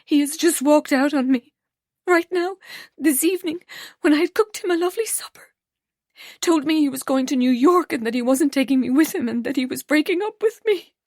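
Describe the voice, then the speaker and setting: A woman's voice: sits high, normal speed, no room sound, clean studio sound, voice pushed up. A woman reading an audiobook, voicing a heartbroken young woman who turns tearful and bitter. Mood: distraught. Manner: tearful, pleading then cold and clipped.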